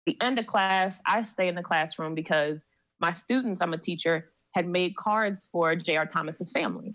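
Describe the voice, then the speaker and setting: A low-pitched woman speaks at a measured pace, stopping often on a drawn-out "um". She sounds close and dry, with no room behind her, as she lays out a story on a podcast. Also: fairly bright tone, dry recording. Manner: measured, with frequent "um" pauses. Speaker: podcast guest; seminary student. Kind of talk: recounting a personal story in conversation.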